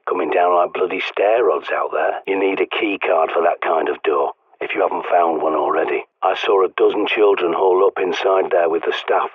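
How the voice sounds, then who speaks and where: Blunt, commanding middle-aged survivalist for a game: low, rough voice over a crackly radio link, dry sarcasm. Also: male voice, steady tone, moderate pace, few pauses, no echo. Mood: gruff, cautioning. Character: gruff, bossy middle-aged British survivalist guiding by radio. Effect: radio transmission filter. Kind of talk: video game voice lines. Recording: tinny, band-limited radio with static.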